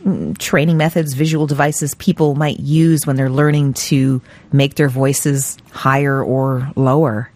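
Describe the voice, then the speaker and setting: A woman interviewer asking measured, curious questions. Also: extremely low pitch, flat delivery, darkish tone.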